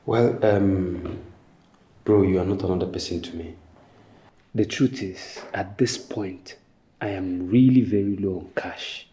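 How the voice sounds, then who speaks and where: A man playing a calm, reluctant, apologetically frank friend in a screen drama, measured, with a Nigerian accent. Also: low voice, a little hiss or hum. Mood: reluctant, apologetic.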